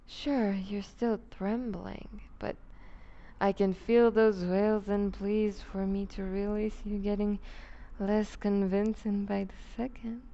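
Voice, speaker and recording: A woman acts out a predatory vampire noblewoman for an ASMR roleplay. She speaks very slowly and with dark, mellow softness, a creaky edge under her possessive, condescending teasing. The audio is slightly muffled. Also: mid-range voice, flat delivery. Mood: coaxing, seductive. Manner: velvety teasing, condescending, unhurried, often dropping to whispers. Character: seductive, dominant vampire duchess, predatory and possessive. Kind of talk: ASMR roleplay, intimate scripted monologue.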